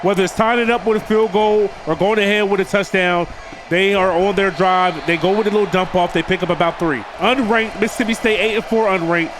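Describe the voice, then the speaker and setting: A man with a high voice, nasal and congested from a cold, calling the action of a football video game for his viewers. He talks to them like buddies and pushes up on the big plays. A steady hiss of noise sits under the recording. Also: a little fast. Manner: addresses viewers as "boys", hypes big plays. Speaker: gaming video creator. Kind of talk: play-by-play commentary over a football video game.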